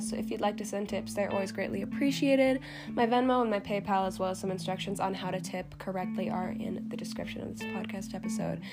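Chatty woman podcaster over loud guitar music. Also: mid-range voice. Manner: chatty, informal, frequent "like". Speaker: podcast host. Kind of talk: casual solo podcast talk. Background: plucked guitar music.

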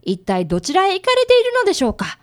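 Polite little schoolboy narrating a detective radio drama, high voice, super lively and earnest. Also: female voice, fast talker, heavily acted. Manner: very polite, formal speech.

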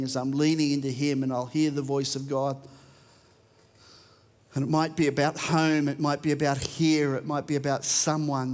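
A man's voice: mid-range voice, big-room sound. An Australian man preaching a sermon, reading verses and talking conversationally to a church. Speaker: pastor.

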